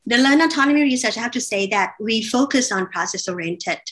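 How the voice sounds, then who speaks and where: A woman from Thailand speaking as an associate professor on a webinar panel, halting and correcting herself often as she explains. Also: on the high side, lively intonation, fairly bright tone. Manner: halting, with frequent fillers and self-corrections. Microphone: video call.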